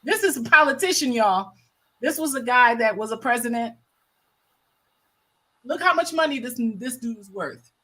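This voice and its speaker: A woman's voice: a little high, expressive, moderate pace, pauses often, a bit bright, low-quality recording. An American woman hosting a livestream with casual, impassioned commentary, stressing points by repeating her phrases. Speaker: livestream host.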